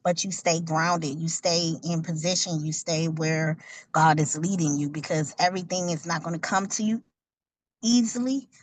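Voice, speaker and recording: A woman's voice: deep voice, moderate pace, poor audio. A woman speaking earnestly about her faith-based outreach on a video call, repeating points for emphasis. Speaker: ministry outreach worker, author. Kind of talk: networking pitch.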